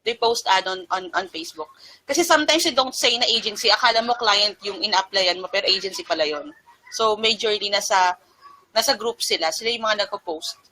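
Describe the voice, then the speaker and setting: A woman's voice: medium pitch, quick pace, pushed and bright, rough recording. A Filipino woman guest answering interview questions casually, mixing Tagalog and English. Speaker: guest freelancer.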